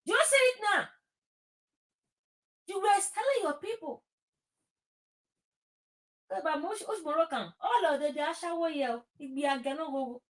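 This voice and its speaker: A woman's voice: high voice, lively intonation, measured pace, pauses often, a bit bright, rough recording. A woman with a Nigerian accent talking informally and emphatically about her opinions, repeating phrases and slipping into Pidgin. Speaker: talk show host. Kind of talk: impassioned informal political talk.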